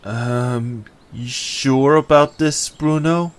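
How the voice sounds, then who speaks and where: A man's voice: mid-range voice, drawn-out words, smooth, pitched above the performer's own voice. A man voicing an inquisitive, wary little creature on an adventure in an audio drama. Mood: doubtful.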